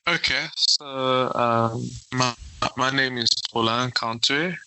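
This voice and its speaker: A man's voice: mid-range voice, slow pace, sharp tone, clean tone, dry recording, poor audio. A man in his thirties from South Africa, answering interview questions hesitantly with restarts and searching for words. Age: 30s. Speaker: guest, aeronautical engineer.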